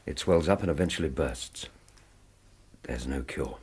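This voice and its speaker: An actor playing a calm, frank doctor in a radio drama, speaking in a measured, clinical manner. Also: male voice, rumbling low, breathy edge, exaggerated voice. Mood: sober. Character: calm, frank British doctor giving a grim diagnosis.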